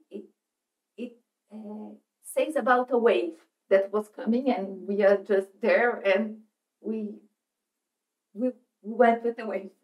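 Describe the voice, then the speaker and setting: A middle-aged woman from Brazil with a Brazilian Portuguese accent, presenting as a psychoanalyst in a meeting room, pausing and restarting as she searches for English words. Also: on the high side, a little mellow, low-quality recording. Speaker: psychoanalyst speaker. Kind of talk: presentation at a seminar. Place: meeting room, seated at a table.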